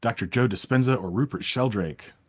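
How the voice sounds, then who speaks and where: A man hosting a casual interview, reading out rapid either-or questions in a playful, teasing way. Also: mid-range voice, breathy edge. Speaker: interviewer, host.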